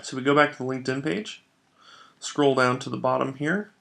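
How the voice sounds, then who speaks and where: A man narrating an instructional software tutorial, explaining things step by step in an informal way. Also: mid-range voice, brisk, vocal fry. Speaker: software trainer.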